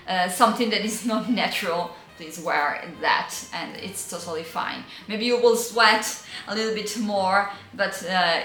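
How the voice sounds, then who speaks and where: A woman with an Italian accent, a native Italian speaker, giving casual style advice in a vlog, often pausing to search for words. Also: medium pitch, echoey room. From Italy. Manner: hesitant, searching for words, frequent fillers and soft laughs. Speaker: vintage style vlogger and yoga teacher.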